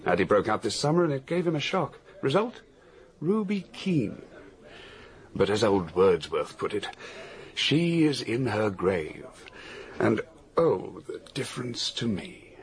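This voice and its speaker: An actor with an English accent playing an easygoing, cynical widower in a radio drama, frank and flippant in manner. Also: male voice, fairly low pitch, big pitch swings, unhurried. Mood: frank, resentful. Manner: flippant, candid, wry. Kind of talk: British radio drama acting.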